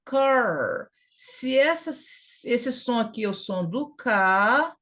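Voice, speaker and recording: A woman's voice: on the high side, lively intonation, slow, fairly bright tone. A woman with a Brazilian Portuguese accent giving an informal English lesson, sounding out syllables emphatically and repeating key words. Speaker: English teacher.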